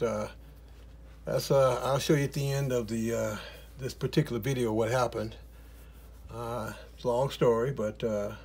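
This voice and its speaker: A middle-aged man with a husky, slightly hoarse voice, teaching oil painting in a relaxed, rambling way with many fillers in his studio. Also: medium pitch. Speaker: art teacher. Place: studio, in front of an easel.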